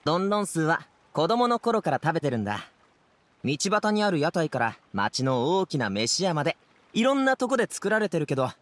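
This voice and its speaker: A man voicing an energetic, good-natured young man in an anime game, talking in a casual, friendly, chatty way. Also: on the high side, dry recording. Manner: casual, brisk, friendly boyish speech.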